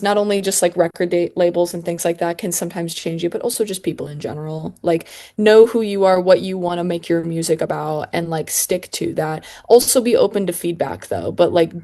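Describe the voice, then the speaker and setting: A woman's voice: lowish voice, warm tone. A woman, a pop singer, chatting casually as an interview guest in informal speech peppered with "like".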